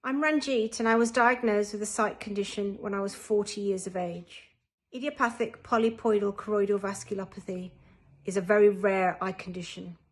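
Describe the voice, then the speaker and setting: A working mother with a husky voice, telling her story in a matter-of-fact way. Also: medium pitch, fairly even intonation, normal speed. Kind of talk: personal testimony.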